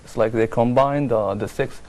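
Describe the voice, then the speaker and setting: A man's voice: mid-range voice, moderate pace, creaky, darkish tone. A man speaking as a guest on a talk panel, stressing his points by repeating them. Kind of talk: casual panel discussion.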